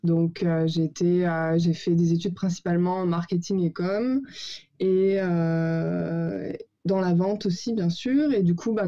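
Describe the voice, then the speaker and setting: Woman, 30s, relaxed guest storyteller, mellow tone, slightly muffled call audio. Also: on the low side. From Paris, France. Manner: relaxed, many "euh" and "bah" fillers, searches for words. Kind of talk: casual interview storytelling. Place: home over a call.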